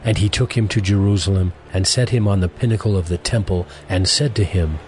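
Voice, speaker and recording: A man narrating scripture from a script in a measured, formal manner. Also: low-pitched, very noisy.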